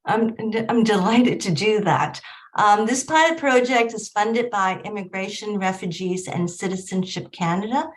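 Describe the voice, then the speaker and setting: Middle-aged woman from Hong Kong, measured researcher on a video call panel. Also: mid-range voice, expressive. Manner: measured, with frequent ums. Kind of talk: panel discussion. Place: home or office in Vancouver.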